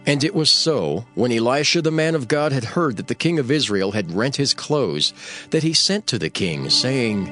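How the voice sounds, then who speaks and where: A man's voice: mid-range voice, lively intonation, moderate pace, a bit bright, faint music behind. A man narrating scripture in a dramatized, scripted storytelling style.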